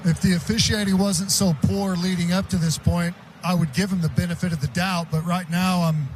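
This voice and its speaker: A man's voice: high voice, level tone, brisk, a little hiss or hum, rough recording. A man working as a radio color commentator, giving opinionated, conversational football analysis. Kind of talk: football analysis with quick reactions.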